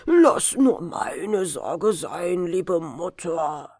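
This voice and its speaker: A woman's voice: on the low side, sing-song, drawn-out words, dark tone, no echo, studio quality, heavily acted. A woman voicing a carefree, gluttonous young prince from a fairy tale in a smug drawl. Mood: smug, reassuring.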